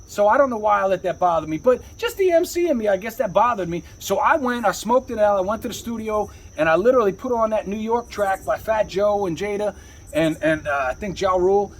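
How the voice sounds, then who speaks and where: Husky, high-pitched man rambling casually. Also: lively intonation, fairly quick, low-quality recording. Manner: rambling, slangy, repeats "you know". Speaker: rapper, interview guest. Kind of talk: casual interview storytelling.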